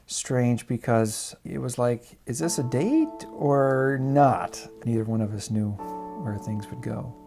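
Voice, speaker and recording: Mellow middle-aged man. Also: medium pitch, expressive, slow pace, vocal fry. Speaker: documentary interviewee, husband. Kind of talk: conversational documentary interview. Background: guitar music.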